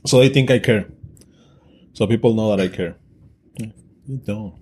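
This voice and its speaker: A man's voice: fairly low pitch, a little slow, darkish tone, slight background noise. A man co-hosting a podcast, talking bluntly and crudely in casual chat, joking with his co-host. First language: Spanish.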